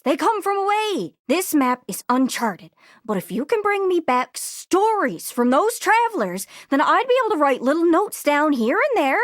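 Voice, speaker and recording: Chatty little globe from a video game, super high voice, swoops all over, impatient and gushy, really dry sound. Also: female voice, a bit bright, no echo. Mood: eager, pleading. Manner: chatty, gushing, impatient, folksy. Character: excitable, chatty little talking globe eager to explore. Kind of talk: animated video game character acting.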